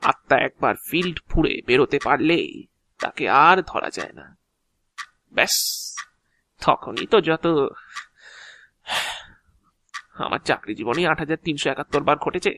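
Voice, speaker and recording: A man's voice: on the high side, animated, normal speed, pushed and bright, no room sound, heavily acted. An actor playing an ancient, talkative agent of Death in an audio drama, explaining his work proudly in a theatrical, officious yet chatty way. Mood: grave, explaining. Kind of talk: Bengali audio drama acting.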